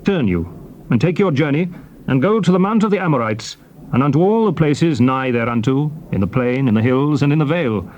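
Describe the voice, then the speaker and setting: A man's voice: medium pitch, animated, brisk, darkish tone, highs cut off, lightly acted. Moses, a declamatory prophet played by a man. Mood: solemn, exhorting. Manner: declamatory, formal, emphatic phrasing. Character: Moses, solemn male prophet leader addressing his people. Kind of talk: dramatized scripture oratory. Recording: noisy old cassette tape transfer.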